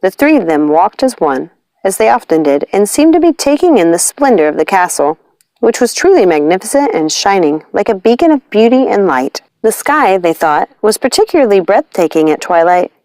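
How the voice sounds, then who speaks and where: Animated woman reading a storybook. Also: mid-range voice, normal speed, no echo. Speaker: audiobook reader. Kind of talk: expressive storybook narration.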